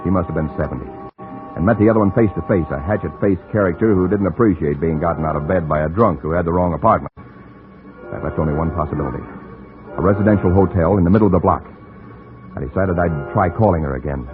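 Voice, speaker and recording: An American actor playing a desperate newspaper reporter, narrating in urgent, hard-boiled radio noir style. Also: male voice, low voice. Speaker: lead actor and first-person narrator. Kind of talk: vintage radio noir acting. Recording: dull old radio broadcast with music.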